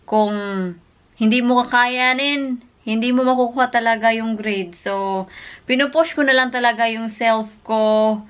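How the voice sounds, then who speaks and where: Candid Filipina vlogger. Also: female voice, a little high, lo-fi sound. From Philippines. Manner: candid, openly disappointed and venting. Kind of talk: casual vlog chat, mixing Filipino and English.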